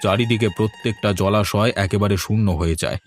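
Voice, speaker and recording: A man's voice: on the low side, fairly quick, soft background music, lightly acted. An older man voicing a worried, dismissive village farmer and uncle. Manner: brushing off, fretful.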